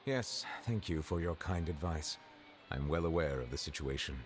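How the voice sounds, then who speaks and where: A man voicing a stern chief justice in a video game, formal, restrained and deliberate. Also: a bass voice, animated. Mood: measured. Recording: game music behind.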